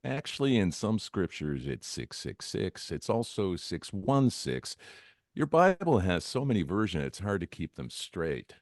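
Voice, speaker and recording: Sardonic professor guy man acting, deep voice, swoopy delivery, teasing, dry sound. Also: sing-song, a little slow, dry recording, exaggerated voice. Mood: needling. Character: sardonic skeptical male professor needling religious housemates. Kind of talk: comedy script table read.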